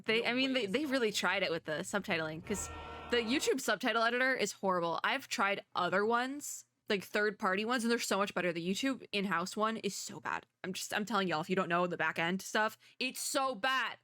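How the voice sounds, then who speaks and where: Bright-voiced woman chatting casually to gamers. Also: medium pitch, a little fast, dry recording. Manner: chatty, rambling, playfully exasperated. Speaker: reaction video host and VTuber. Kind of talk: casual reaction chat to gamers. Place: indoors at a home computer setup.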